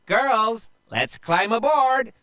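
Man doing a high-pitched bossy lady pirate captain. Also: very animated, slow pace, exaggerated voice. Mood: commanding. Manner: yelling, commanding. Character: bossy, ruthless woman pirate captain, big sister.

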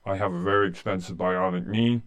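A man's voice: low-pitched, fairly even intonation, brisk. A man in his late sixties with a Canadian accent, telling his personal story in an earnest, plain-spoken way in an interview. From Canada, rural eastern Ontario. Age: late 60s. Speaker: interviewee, humanitarian aid volunteer.

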